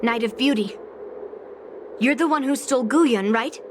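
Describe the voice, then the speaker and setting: A woman's voice: fairly high pitch, measured pace, background noise, close to an everyday voice. A woman playing a determined young swordswoman. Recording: game audio with music behind.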